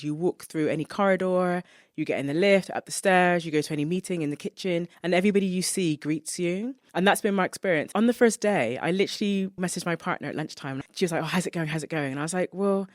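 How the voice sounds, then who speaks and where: Fast-talking podcast host with a Bristol accent. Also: medium pitch, dry recording, clean studio sound. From Bristol, England. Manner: chatty anecdotal storyteller, animated. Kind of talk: casual conversational interview.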